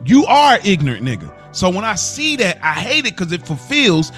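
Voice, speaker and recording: A man's voice: sits high, wildly swooping pitch, moderate pace, a bit bright, faint music behind. A man giving impassioned opinions as a podcast panelist, talking in informal slang and repeating himself for emphasis.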